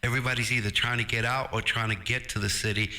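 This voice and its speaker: A man's voice: medium pitch, fairly even intonation, fast, sharp tone. A middle-aged man chatting casually over ham radio. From Brooklyn, New York. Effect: ham radio transmission. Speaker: amateur radio operator.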